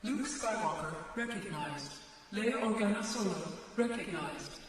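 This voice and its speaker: A woman's voice: medium pitch, expressive, a little slow, over a noisy crowd, lightly acted. A woman playing an impersonal automated security computer, making formal, clipped announcements in a sci-fi audio drama. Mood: impersonal, official. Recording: echoing hall, distant, loud noise.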